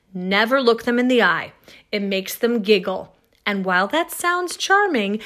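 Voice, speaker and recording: An actress playing an exasperated, confiding woman in a comic first-person story monologue, with wry emphasis and dramatic pauses. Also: on the high side, fairly bright tone. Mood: wry, instructive. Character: exasperated, wryly confiding woman plagued by monsters and heartbreak. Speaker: actress reading a short story.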